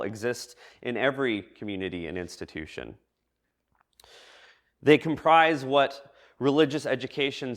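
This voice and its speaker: A man preaching a reflective message to a congregation in a measured, thoughtful way with deliberate pauses. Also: mid-range voice. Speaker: preacher giving a church message. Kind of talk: reflective sermon addressed to a congregation.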